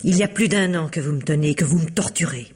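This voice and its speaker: An actress playing a rich widow under blackmail in a radio mystery, curt, sardonic and defiant. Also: female voice, low voice, fast talker, darkish tone, breathy edge. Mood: exasperated, defiant. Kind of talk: French radio mystery drama acting.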